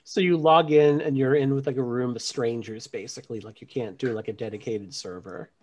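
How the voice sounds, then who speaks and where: A man's voice: medium pitch, fairly even intonation, a little fast, crowd noise. A man who works as a school counselor, co-hosting a podcast and telling stories in a joking, easygoing way. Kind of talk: casual podcast conversation.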